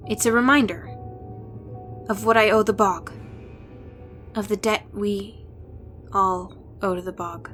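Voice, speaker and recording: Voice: mid-range voice, steady tone, measured pace, pauses often, a bit bright, faint music behind, pitched above the performer's own voice, close to an everyday voice. A narrator voicing a devout, earnest teenage village girl, solemn and sincere, in an audiobook. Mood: solemn, wistful. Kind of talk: audiobook character voice.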